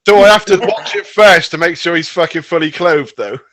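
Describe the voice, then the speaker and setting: A man's voice: high-pitched, fairly quick, a crowd in the background. A man in his forties co-hosting a casual podcast, blunt and swearing freely. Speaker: podcast co-host. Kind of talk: casual group chat.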